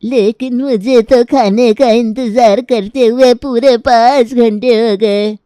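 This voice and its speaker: Woman acting a slow, grumbling old grandmother. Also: on the high side, fairly even intonation, dark tone, no echo, studio quality. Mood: indignant. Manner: complaining. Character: toothless old grandmother-in-law, hungry and grumbling.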